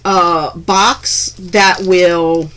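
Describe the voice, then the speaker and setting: A woman chatting through a craft unboxing in her home craft room, gushing over each piece with frequent ums and restarts, her voice a little hoarse from being under the weather. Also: lowish voice, steady tone, drawn-out words, fairly bright tone. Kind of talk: casual unboxing narration.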